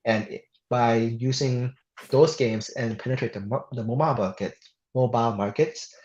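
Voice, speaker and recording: A man's voice: mid-range voice, steady tone, moderate pace, darkish tone. A man from Thailand speaking as an interview guest, earnest and often breaking off to restart words. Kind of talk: casual interview. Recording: roomy dull sound off the mic.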